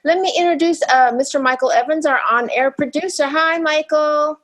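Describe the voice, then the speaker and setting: A woman's voice: high voice, normal speed, a bit bright. A woman hosting a comedy game podcast over a video call, chatty and asking probing questions. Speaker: podcast host.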